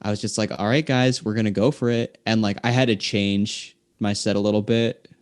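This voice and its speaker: A man's voice: fairly low pitch, steady tone, a little fast, clean tone. A young man, a singer-songwriter guest, chatting casually and telling long, rambling stories packed with filler words. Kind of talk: casual chat.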